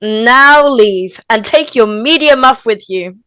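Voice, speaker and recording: A woman playing a sassy, sharp-tongued teenage girl in a script read. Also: a little high, lively intonation, slow pace, clear, resonant tone, low-quality recording. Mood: indignant. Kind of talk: informal script table read.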